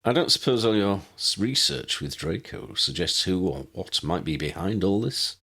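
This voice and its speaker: A man's voice: sits low, big pitch swings, moderate pace, pushed and bright, slightly rough, full character voice. A man voicing an earnest, serious young male hero in an audiobook, speaking plainly.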